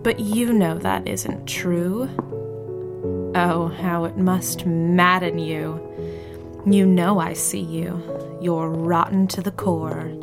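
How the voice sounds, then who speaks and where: An actress playing a proud, bitter captive wife in an audio drama, speaking in a deliberate, defiant manner. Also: female voice, on the low side, fairly even intonation, creaky, over music. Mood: scornful.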